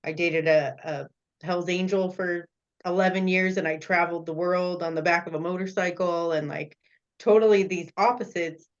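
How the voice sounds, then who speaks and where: Lower-voiced woman host, dull sound. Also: fairly even intonation, normal speed. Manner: warm encouraging listener, laughs readily. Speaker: podcast host. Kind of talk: casual conversation.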